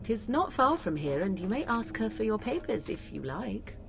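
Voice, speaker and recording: Casting: aloof young witch, haughty, old-fashioned phrasing, animated melody, fantasy game. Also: mid-range voice, normal speed, gravelly, background noise. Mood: cool, teasing. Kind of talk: fantasy video game acting.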